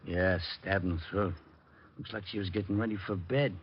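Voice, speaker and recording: An actor playing a gruff, slow-witted police sergeant with uneducated grammar in old radio drama style. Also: male voice, low-pitched, fairly even intonation, slight background noise, natural voice. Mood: grumbling. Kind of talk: 1940s radio drama acting. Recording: muffled old radio recording.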